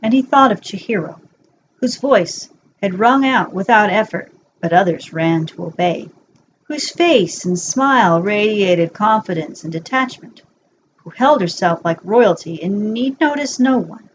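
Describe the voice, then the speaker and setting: A woman reads a bedtime story aloud as an amateur narrator, at a medium pitch. The recording is somewhat dull and lo-fi, with faint noise behind her voice. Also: lively intonation, a little slow. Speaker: amateur narrator reading fan fiction aloud.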